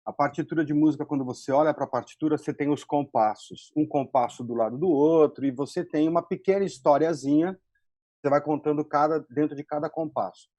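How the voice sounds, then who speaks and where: A man with a Brazilian accent, a guest writer on a panel, explaining a term conversationally and deliberately, repeating phrases as he goes. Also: a little high, warm tone, no room sound.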